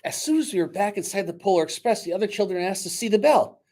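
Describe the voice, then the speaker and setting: A man reading a picture book aloud dramatically to children, pausing to coax them with questions like a teacher. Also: sits high, expressive, darkish tone. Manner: dramatic, teacherly, coaxing young listeners with questions.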